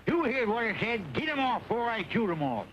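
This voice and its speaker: High-voiced, ornery rebel sidekick. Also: male voice, sing-song, fairly quick, muffled recording. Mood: bossy. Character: cantankerous, cheeky Southern rebel sidekick, grizzled. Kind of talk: old western film acting. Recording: noisy old film soundtrack.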